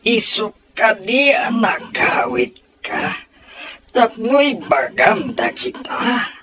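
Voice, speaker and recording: An actor in an Ilocano radio drama playing a harsh, warlike father who speaks with drawn-out, forceful scorn to a child he calls a coward. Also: medium pitch, very animated, crowd noise. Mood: scornful, angry.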